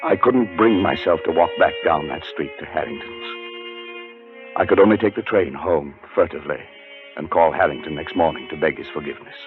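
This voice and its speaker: Haunted male scholar, very low voice, dramatic deliberate delivery, anxious, 1940s radio suspense, muffled with music. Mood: sheepish, subdued. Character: haunted, frightened male scholar recounting his terror. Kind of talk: 1940s radio acting, first-person suspense narration.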